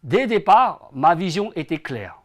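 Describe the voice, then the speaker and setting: Higher-pitched man in his forties, formal speaker. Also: big pitch swings, normal speed. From born in China, grew up in France from age ten. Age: 40s. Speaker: interview guest, entrepreneur and association president. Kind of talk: prepared, formal interview answers.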